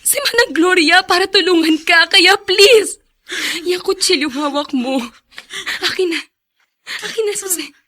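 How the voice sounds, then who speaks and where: A woman's voice: extremely high pitch, unhurried, long pauses, pushed and bright, heavily acted. A woman playing a kind, forgiving young woman in a melodrama, earnestly urging and reasoning. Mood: urgent pleading. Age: young adult. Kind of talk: Filipino radio-style melodrama acting.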